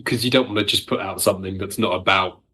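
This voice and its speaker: A man talks casually as a guest writer in an interview. His voice sits fairly low and has a husky, slightly rough texture. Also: fairly even intonation, quick pace, pushed and bright. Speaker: audio drama writer, podcast guest. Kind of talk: casual discussion.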